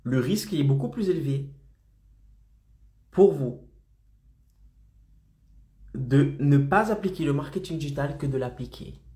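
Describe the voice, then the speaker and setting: A man's voice: a little high, lively intonation, a little slow, pauses often, dark tone, reverberant. A man with a slight head cold, coaching freelancers in a motivational live stream and keeping his audience engaged with frequent check-ins. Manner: insistent, keeps checking in with "d'accord?" and "ok?".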